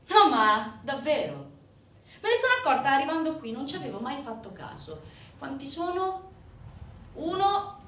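A woman's voice: a little high, a bit bright. A woman delivering a conversational theatre monologue to an audience, chatty and full of rhetorical questions. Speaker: stage actress, solo narrator. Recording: roomy echo, distant mic.

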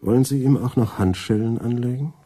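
A man's voice: mid-range voice, brisk, mellow, breathy edge, close to an everyday voice. A man playing a dry, respectful imperial chancellor in a radio drama. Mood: dry, sardonic. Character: dry, respectful male chancellor to a young emperor. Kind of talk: German radio drama acting.

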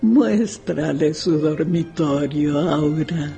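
An actress playing an elderly, reclusive widow in a radio drama, imperious and insistent, speaking with slow deliberation. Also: female voice, low voice, gravelly, dark tone, heavily acted. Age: older. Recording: music behind the voice.

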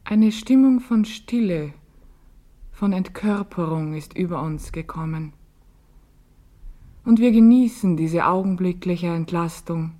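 A serene woman with a dark, lowish voice reflects in a radio play. She speaks very slowly, with calm poetic care. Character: serene, contemplative woman savouring stillness. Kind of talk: literary radio play monologue.

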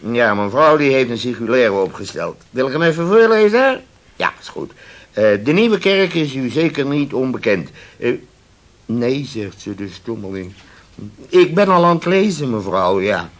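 A man's voice: medium pitch, sing-song, normal speed. A man acting in a radio play as a grumpy, domineering shipowner who is blustering and curt, outwardly polite when he must be. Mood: forced politeness.

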